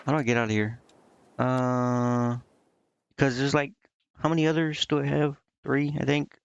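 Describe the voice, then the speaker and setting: A man's voice: medium pitch, flat delivery, moderate pace, gravelly, clean tone, no room sound. A man streaming a game, giving casual, informal commentary and reading aloud, often muttering to himself. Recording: muffled lo-fi stream audio over game music. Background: game music.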